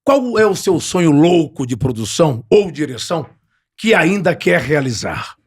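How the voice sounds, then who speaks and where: A man's voice: on the high side, big pitch swings, moderate pace, husky. A middle-aged man with a Brazilian Portuguese accent hosting a podcast, asking questions in a teasing, theatrical way. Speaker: podcast host. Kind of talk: podcast interview, playful job game.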